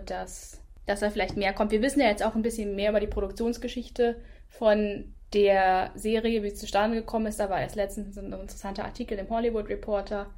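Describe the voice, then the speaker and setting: A woman's voice: medium pitch, a little mellow. A woman co-hosting a casual review chat, voicing firm opinions with frequent "ähm" fillers.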